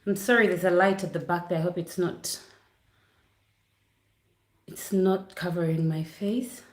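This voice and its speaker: A woman's voice: fairly low pitch, steady tone, a little slow, roomy sound. A woman from Uganda chatting casually on a livestream from her living room, apologetic and easily distracted. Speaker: vlogger hosting a livestream. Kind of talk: casual chat to a live audience. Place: home living room near a Christmas tree. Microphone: computer setup for a livestream.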